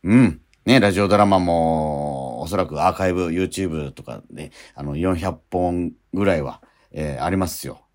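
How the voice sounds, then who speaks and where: A man's voice: deep voice, normal speed, hardly any pauses, darkish tone. A man hosting a radio show, talking casually yet politely and earnestly as he wraps up.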